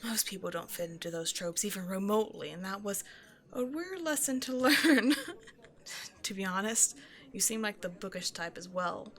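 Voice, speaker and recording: A woman's voice: medium pitch, animated, moderate pace, fairly bright tone. A woman playing a shy, self-doubting young woman who rambles hesitantly in an intimate one-sided roleplay conversation with a stranger. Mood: nervous, chatty. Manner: hesitant, apologetic, nervously overthinking, giggly.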